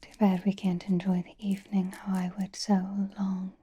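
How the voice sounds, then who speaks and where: A woman playing a possessive, teasing female vampire in a whispered ASMR roleplay, breathy, coy, intimate and commanding. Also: medium pitch, monotone, normal speed, mellow. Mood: coy, conspiratorial.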